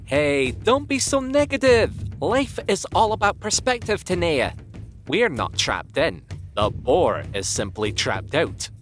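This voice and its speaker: Man acting as cocky young winged pony, wildly animated, breezy, sardonic, slightly high voice, audio drama. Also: unhurried, faint music behind. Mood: teasing, playful.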